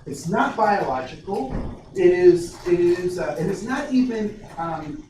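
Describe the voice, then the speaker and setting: A man's voice: high-pitched, a little slow. A man in his forties from Ohio, leading a diversity workshop with energy and quizzing his audience. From Ohio, USA. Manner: energetic, questions the audience, frequent restarts. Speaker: diversity trainer. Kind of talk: interactive workshop talk. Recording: very echoey, distant, faint noise.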